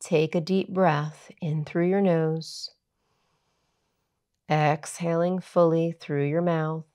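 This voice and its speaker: A woman yoga teacher narrating a guided meditation in a soothing, measured, unhurried way. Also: deep voice, steady tone, dark tone.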